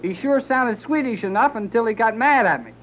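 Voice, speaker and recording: An excitable young sidekick. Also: male voice, very high-pitched, a little fast, a little hiss or hum. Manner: excitable, folksy. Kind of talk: 1930s radio acting. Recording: dull lo-fi 1930s radio recording.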